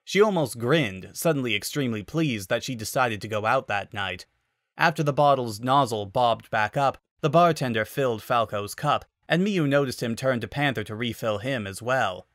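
Male narrator for dramatic fiction reading, mid-pitched, very dry recording. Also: lively intonation, normal speed, fairly bright tone, no echo.

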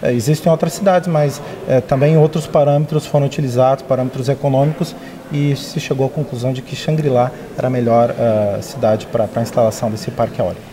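A man's voice: medium pitch, fairly even intonation, fast, a crowd in the background. Hesitant man explaining in a newsroom. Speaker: newspaper editor guest. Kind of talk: live studio interview. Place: open-plan newsroom.

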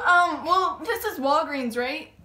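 High-pitched, bright female voice; slangy, giggly prank caller at home. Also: slow pace. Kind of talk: casual prank phone calls with friends. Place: small furnished bedroom indoors.

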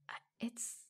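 A woman playing an affectionate, reassuring secretary in a soft-spoken ASMR roleplay, gently hesitant and often dropping into a whisper. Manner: gentle, hesitant, pausing between short phrases.

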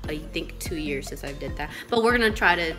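A woman's voice: low voice, animated, a little fast, music in the background. Nasal, sniffly young woman vlogging casually. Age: young adult. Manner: casual and swearing, mixes in Spanish. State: nasal from a cold. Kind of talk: casual vlog chat. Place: home living room, then a car at night. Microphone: phone held at arm's length.

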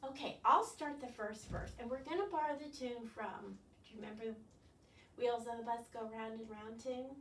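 A woman's voice: fairly high pitch, normal speed. A woman in her sixties leading a storytime for young children as a librarian, with exaggerated wonder and coaxing questions. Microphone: distant camera microphone. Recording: roomy, distant camera mic, faint noise.

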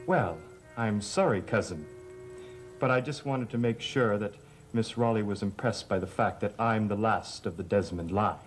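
Actor as a sly, insolent young heir. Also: male voice, lowish voice, normal speed, natural voice, rough recording. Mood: sly, mocking. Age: young adult. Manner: sardonic, mock-polite. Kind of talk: 1960s TV soap opera acting. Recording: vintage TV soundtrack with background music.

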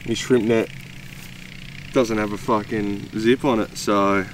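A young Australian man chats loosely to the camera, full of ums and offhand swearing. His voice sits a touch low. Clear outdoor noise runs under the camera mic. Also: fairly even intonation, takes it slowly, poor audio. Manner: laid-back, swears casually, lots of ums. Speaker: fishing vlogger. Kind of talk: casual vlog chat. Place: in a car, then outdoors on a riverbank. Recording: outdoor and road noise behind.

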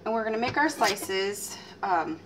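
A woman in her thirties, chatting casually as she demonstrates a recipe in her home kitchen, teasing herself and letting out lively exclamations. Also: medium pitch, unhurried. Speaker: home cooking channel host. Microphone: fixed camera mic at a distance. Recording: distant camera mic with faint room noise.

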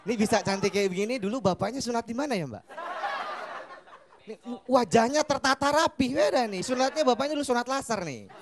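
A man's voice: animated, brisk. A young man doing stand-up on a TV studio stage, teasing the audience on a handheld mic. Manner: teasing the audience, acting out quoted people in higher voices. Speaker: stand-up comedian. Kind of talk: stand-up comedy set. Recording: laughing studio crowd, background noise.

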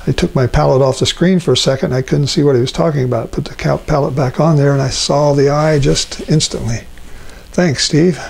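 An older man demonstrating a watercolor painting as an instructor, talking himself through each step with frequent ums and pauses. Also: on the high side, creaky. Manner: relaxed, thinking aloud with many ums. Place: art studio at a painting table.

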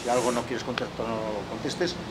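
A middle-aged man interviews a guest in an outdoor courtyard, filmed from a distance. His voice is mid-pitched and conversational, and he keeps checking in with tag questions. Steady outdoor noise is clearly audible behind him. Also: a little fast. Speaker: interviewer, club host. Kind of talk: casual interview. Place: outdoor courtyard with artificial grass.

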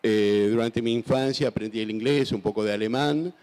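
A man's voice: medium pitch, moderate pace, darkish tone. A man in his sixties from Argentina, answering onstage interview questions with a handheld microphone, thoughtful and hesitant with many fillers and restarts. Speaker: author guest. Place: large festival stage. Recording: long hall reverb.

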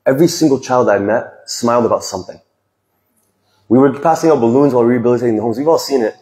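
A man's voice: medium pitch, quick pace, a little mellow. A young man giving an earnest, heartfelt farewell speech from a stage, speaking into a handheld microphone. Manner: earnest, reflective, speaks from the heart with small restarts. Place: large decorated hall with stage. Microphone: handheld microphone, recorded from the audience. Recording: echoey hall.

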